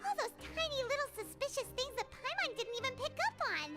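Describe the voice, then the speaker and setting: A woman voicing a small, chatty, earnest fairy companion with a squeaky childlike voice in a video game. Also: animated, quick pace, bright, forceful tone, exaggerated voice. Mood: chirpy, curious. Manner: refers to self in third person, exclamatory. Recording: game music over the voice.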